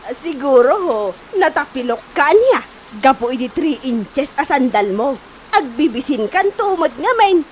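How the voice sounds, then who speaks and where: A woman's voice: high voice, lively intonation, measured pace, slight background noise, low-quality recording. A woman acting an exasperated, chiding woman of the household in an Ilocano comedy drama, nagging with an Ilocano accent.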